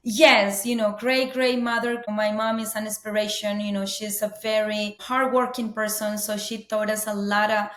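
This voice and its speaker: A woman's voice: mid-range voice, little pitch movement, normal speed, hardly any pauses, a bit bright. A woman from Colombia, telling her story earnestly as a guest, often saying "you know". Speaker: guest, business owner. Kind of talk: interview conversation. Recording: roomy echo.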